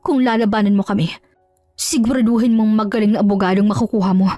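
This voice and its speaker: A woman's voice: medium pitch, fairly even intonation, fast talker, warm tone, no room sound, lightly acted. A woman voicing a cold, powerful male company boss who speaks in a cutting, commanding way. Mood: firm. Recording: dry, faint music underneath.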